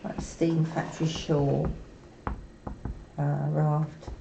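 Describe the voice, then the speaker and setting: A woman's voice: sits low, flat delivery, extremely slow. A woman playing a board game, chatting casually with the others at the table. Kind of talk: casual chat. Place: indoor room around a game table. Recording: roomy, muffled, clearly noisy room recording.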